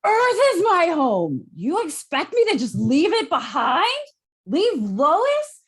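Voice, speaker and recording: A woman's voice: sits high, huge pitch swings, slow, exaggerated voice. A woman playing a brash, stubborn superhero in a script table read, with a casual, emphatic delivery. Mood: defiant. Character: brash, stubborn, heroic male alien superhero.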